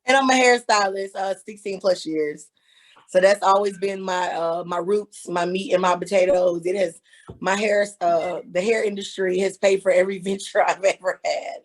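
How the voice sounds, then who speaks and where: A woman's voice: mid-range voice, steady tone, normal speed. A woman in her thirties, a hairstylist and author, talking candidly as an interview guest. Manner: candid, storytelling, laughing. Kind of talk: casual interview.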